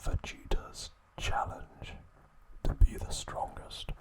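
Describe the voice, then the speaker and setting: Man whispering, very slow, unvoiced, soft ASMR narration, calm. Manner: calm storytelling with occasional fillers and self-corrections. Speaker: ASMR channel host.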